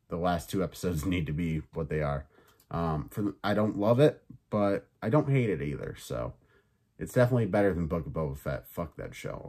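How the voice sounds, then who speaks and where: Male podcast host, lowish pitch, slightly raspy and sore, casual and chatty, swears freely. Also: a little mellow. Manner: loose and chatty, swears, many fillers. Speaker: podcast host reviewing films and shows. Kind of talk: casual review chat.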